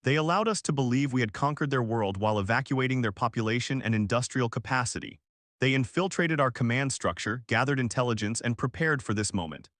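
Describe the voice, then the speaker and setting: A man's voice: medium pitch, lively intonation, fairly quick, a bit bright, dry recording, close to an everyday voice. Guy doing a grim blunt alien admiral, formal military talk, sci-fi story, dry sound. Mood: grave, formal. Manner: formal, clipped military speech.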